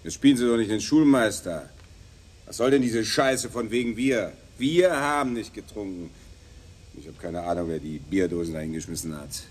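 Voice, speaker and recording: An actor playing an arrogant, foul-mouthed law graduate, condescending and mocking, in a radio crime drama. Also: male voice, on the low side, moderate pace, close to an everyday voice. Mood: mocking, haughty. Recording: noisy outdoor street ambience.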